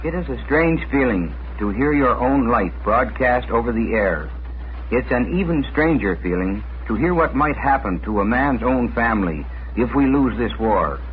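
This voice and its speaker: A man from Canada gives an earnest, solemn address as a radio guest. His voice is medium in pitch. The 1940s broadcast is very narrow, with faint noise. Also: lively intonation, measured pace. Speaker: guest speaker, family man.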